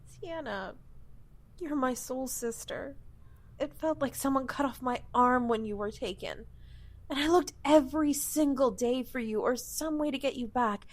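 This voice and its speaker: A woman's voice: sits high, measured pace. A woman playing an affectionate, protective young best friend with sassy endearments in an audio drama. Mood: tender, earnest. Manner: tender, soothing, sassy endearments.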